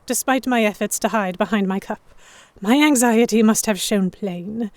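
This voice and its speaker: A woman playing an anxious young noblewoman captive, narrating her own story in a measured, introspective way for a historical romance audio drama. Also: mid-range voice, darkish tone, dry recording, close to an everyday voice. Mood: uneasy.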